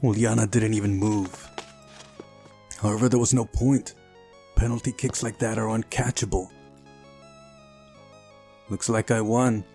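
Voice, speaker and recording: Low-voiced man narrating a game playthrough over music. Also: steady tone, measured pace, pauses often, dark tone. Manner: incredulous, exasperated asides. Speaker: video game playthrough host. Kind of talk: visual novel let's play, reading and reacting.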